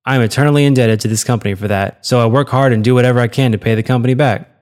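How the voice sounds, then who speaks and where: A man's voice: mid-range voice, steady tone, rapid-fire, darkish tone, lightly acted. A man voicing an earnest, loyal middle-aged office worker in a read-aloud story. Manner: earnest, pleading.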